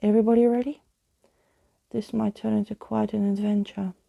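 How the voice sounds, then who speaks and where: A woman playing plucky storybook girl, breathy, mellow, creaky edge, earnest, tender. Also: mid-range voice, little pitch movement, moderate pace. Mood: determined. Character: kind, plucky, imaginative young girl.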